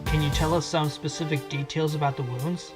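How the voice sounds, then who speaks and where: A man voicing a wry, sharp-witted fox defense attorney in a fan audio drama, insistent as he questions a witness. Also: fairly high pitch, fairly even intonation, brisk, close to an everyday voice. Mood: challenging. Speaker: defense attorney cross-examining. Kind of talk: fan audio drama acting with inner monologue. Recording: loud music under the voice. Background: loud music.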